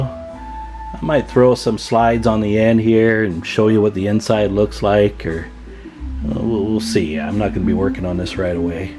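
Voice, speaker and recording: A middle-aged man with an American accent, talking casually and informally through a hands-on demonstration, half to himself as he works. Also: mid-range voice. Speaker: hobbyist radio repair host.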